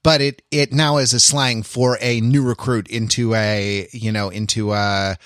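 A man's voice: on the low side, flat delivery, fairly bright tone, no room sound. Man musing aloud, close dry sound. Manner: halting, rambling, intellectual, wryly amused. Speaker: podcast co-host. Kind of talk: casual analytical discussion.

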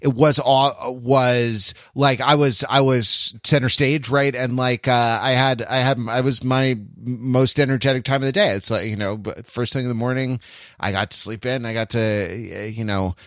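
Male podcast host, mid-pitched, casual and reflective, halting and repetitive delivery, very dry recording. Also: steady tone, fairly bright tone, no echo. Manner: rambling, halting, circles back repeating phrases.

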